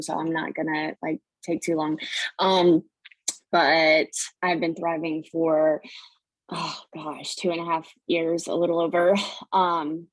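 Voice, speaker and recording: A woman's voice: deep voice, no room sound, rough recording. A woman chatting casually about herself in a scattered, self-deprecating way as a guest on a group call. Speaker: guest speaker on a team call. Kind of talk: casual chat, personal testimony.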